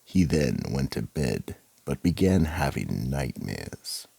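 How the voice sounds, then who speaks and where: An extremely deep, gravelly male narrator played by an actor hosts a mystery radio drama. He is slow and ominous, with theatrical swings in a sinister storyteller's delivery. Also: very animated, warm tone, no room sound, heavily acted. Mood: ominous, measured. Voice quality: gravelly, husky. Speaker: narrator and horror anthology host.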